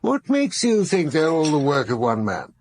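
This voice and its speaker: A learned, assured professor in a radio drama. His voice sits a little high and has a husky edge, swinging expressively up and down. He muses analytically in an urbane, articulate way. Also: huge pitch swings, normal speed, darkish tone, full character voice. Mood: wry, inquiring. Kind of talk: naturalistic radio drama acting.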